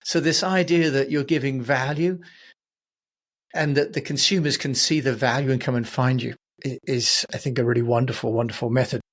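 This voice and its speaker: A man's voice: medium pitch, steady tone, a little fast, a bit bright. A man hosting a podcast, talking conversationally with a guest. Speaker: podcast host. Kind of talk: conversational interviewing.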